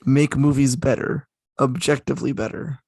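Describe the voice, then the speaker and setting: A man co-hosting a casual podcast, talking haltingly with frequent restarts and fillers. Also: mid-range voice, little pitch movement, creaky, darkish tone, slightly rough, dry recording, clean studio sound. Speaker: podcast co-host.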